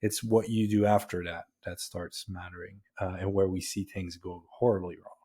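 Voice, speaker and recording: A man's voice: low voice, flat delivery, normal speed, warm tone. A man with a Dutch accent, a podcast guest talking casually and often restarting his sentences. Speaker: guest, ecommerce agency founder.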